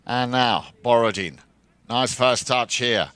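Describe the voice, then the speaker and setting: Play-by-play man, smooth clear voice, dry close sound, lifts on big shots. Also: medium pitch, takes it slowly, no room sound. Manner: builds excitement on big plays. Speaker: polo commentator. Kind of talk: live sports commentary.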